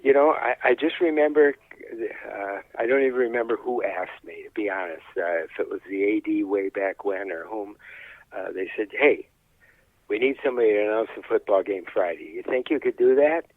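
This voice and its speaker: Older man, low-pitched, folksy and hesitant, casual interview, dry slightly muffled recording. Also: normal speed, dry recording. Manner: plain-spoken, folksy, hesitant. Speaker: high school sports announcer being interviewed.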